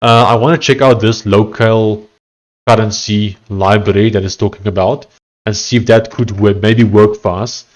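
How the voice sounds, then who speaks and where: Afrikaans-accented man, lowish voice. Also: steady tone. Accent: South African, Afrikaans. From South Africa. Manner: conversational, reads text aloud haltingly. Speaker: livestreaming coder. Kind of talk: casual livestream chat, reading chat and AI output aloud.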